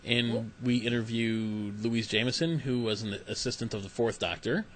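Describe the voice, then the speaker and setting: An easygoing man hosting a podcast interview who asks questions casually and laughs along often. His pitch is ordinary, with a faint background hum. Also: moderate pace, rough recording. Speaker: podcast host.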